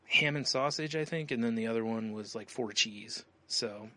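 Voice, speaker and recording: Bright-voiced man rambling through a story. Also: medium pitch, normal speed, no room sound. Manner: rambling storyteller, many fillers, laughs often. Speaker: podcast co-host. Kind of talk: casual chat.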